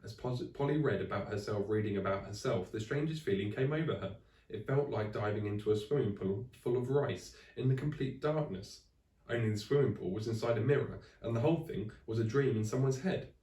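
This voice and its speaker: A man reading a children's story aloud in expressive narration, like a teacher to a class. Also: fairly low pitch, brisk, far from the mic. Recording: echoey room, away from the mic.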